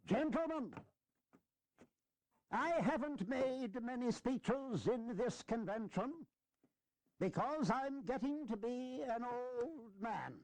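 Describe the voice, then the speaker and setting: An actor playing an elderly, wry and earnest American statesman giving a deliberate, emphatic speech in a historical audio drama. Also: very high-pitched, a little mellow, no echo, heavily acted, rough recording. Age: older.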